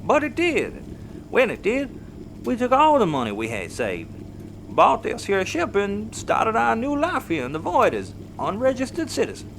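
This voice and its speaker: An actor playing a folksy patriarch in an audio drama, telling his story in a dramatic, deliberate way. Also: sits high, wildly swooping pitch, vocal fry, fairly bright tone, a little hiss or hum, exaggerated voice, poor audio. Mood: solemn, grateful. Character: folksy Texan patriarch haunted by wartime hardship. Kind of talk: audio comedy drama acting, storytelling monologue. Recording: music underneath.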